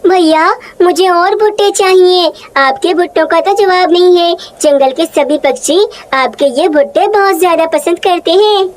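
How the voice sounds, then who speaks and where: A woman's voice: very high-pitched, moderate pace, few pauses, slight background noise. A woman voicing a greedy, pushy female crow shopkeeper in a children's cartoon, with a bossy, scheming manner. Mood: friendly.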